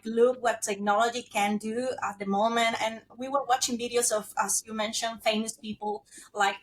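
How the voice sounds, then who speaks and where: A woman with a Spanish accent, a linguist guest who talks in an animated way and pauses to search for words. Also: fairly high pitch, rough recording. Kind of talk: casual podcast chat.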